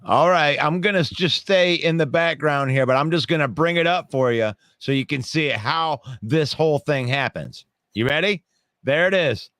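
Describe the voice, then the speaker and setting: A man's voice: fairly high pitch, moderate pace, a bit bright, no room sound, clean studio sound. A man co-hosting a casual sports talk show over a video call, laid-back and stammering at times. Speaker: co-host.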